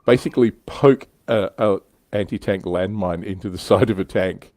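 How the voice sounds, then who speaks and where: A man's voice: lowish voice, normal speed, mellow, no room sound. A man hosting a casual panel discussion about tabletop wargaming. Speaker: livestream host. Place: indoors, online livestream.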